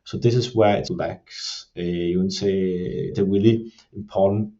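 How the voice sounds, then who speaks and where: A man speaking English with a Danish accent, his voice low and mellow. He explains his points in a measured, steady way, often leaning on the filler "you can say". The audio sounds dull and a little muffled, like a plain podcast recording. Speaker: creative production lead. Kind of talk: podcast discussion.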